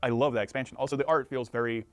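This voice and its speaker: A man's voice: medium pitch, fairly even intonation, rapid-fire, dry recording. A man interviewing in a conversational promotional style, with an enthusiastic manner.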